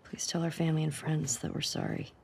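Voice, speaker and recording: A woman's voice: sits low, flat delivery, quick pace, gravelly, a little mellow, husky, full character voice. A woman playing a wary, wounded young survivor in a video game, with an American accent and a subdued, earnest, naturalistic delivery. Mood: somber reading aloud. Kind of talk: naturalistic cinematic game acting.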